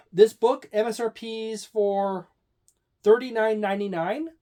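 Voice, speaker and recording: Slow, hesitant tabletop game reviewer. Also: medium pitch, steady tone, a little mellow. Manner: hesitant, frequent fillers and restarts. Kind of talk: book overview and review.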